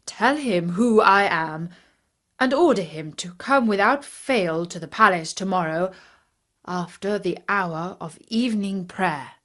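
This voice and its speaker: Woman reading as a stately, measured Caliph. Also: mid-range voice, fairly bright tone, close to an everyday voice. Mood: curious, commanding. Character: dignified, curious Caliph, commanding yet gentle male ruler. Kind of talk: children's storybook reading.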